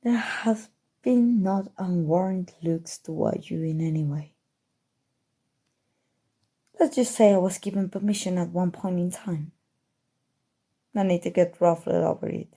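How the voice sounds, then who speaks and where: A woman voicing a smug, teasing, coolly menacing evil sorcerer in an ASMR roleplay, speaking softly and deliberately with breathy drifts into whispering. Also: lowish voice. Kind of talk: ASMR roleplay, scripted character monologue.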